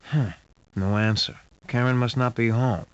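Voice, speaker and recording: A man's voice: mid-range voice, fairly even intonation, unhurried, lightly acted. A man playing a wry hard-boiled detective who narrates a sci-fi adventure game in a dry, dramatic, scripted noir style. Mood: wry, musing. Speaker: game narrator and lead character. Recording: muffled lo-fi game audio with hiss.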